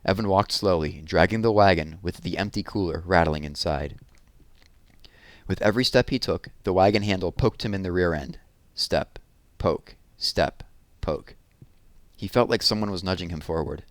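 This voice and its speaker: A man narrating a children's chapter book expressively, leaning deliberately on key words for drama. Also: low voice, no room sound. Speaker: read-aloud narrator.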